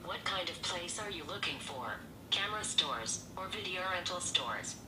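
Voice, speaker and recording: Low, bright woman's voice as a phone assistant. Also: normal speed. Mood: helpful. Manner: even, helpful assistant prompts. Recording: echoey room from a phone speaker.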